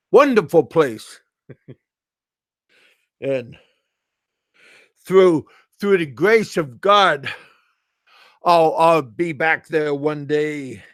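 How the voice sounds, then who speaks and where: A middle-aged American man chatting casually on a live stream, halting and repeating his words. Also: a little high, fairly bright tone, no echo, clean studio sound. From USA. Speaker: livestream host.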